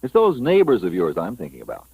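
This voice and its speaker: A man's voice: fairly low pitch, huge pitch swings, quick pace, a little mellow, husky, no echo, rough recording. An American man delivering a vintage radio sponsor spot in a folksy, confiding, chatty way. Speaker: radio advertising announcer.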